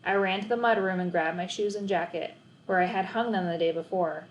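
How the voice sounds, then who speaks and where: A woman narrating a children's book as an expressive read-aloud storyteller. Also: fairly low pitch, a little fast, a little hiss or hum, poor audio.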